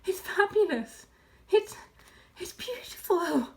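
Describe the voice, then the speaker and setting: A woman's voice: sits high, expressive, a little slow, bright, forceful tone, exaggerated voice. A woman voicing a confident, fatherly male fox leader in a children's storybook, giving firm instructions. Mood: breathless wonder. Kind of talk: children's storybook read aloud.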